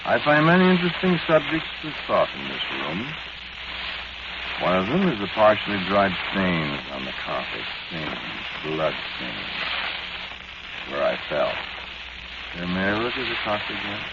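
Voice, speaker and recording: Casting: genteel, resigned scientist for vintage radio horror; low voice, widely swooping delivery, formal and wry. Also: male voice, sing-song, exaggerated voice. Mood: musing, rueful. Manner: formal, pedantic, deliberate, with wry asides. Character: doomed, genteel scientist, inept gambler and drinker, calmly resigned. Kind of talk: old radio horror drama monologue. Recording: dull, very noisy, crackling old radio recording.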